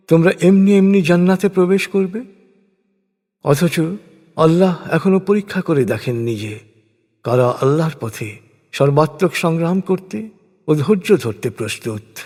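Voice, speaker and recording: A man gives a solemn, scripted reading of translated scripture in measured, declamatory phrases. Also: a little high, darkish tone. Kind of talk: solemn scripted religious recitation of translated verses. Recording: long hall-like reverb.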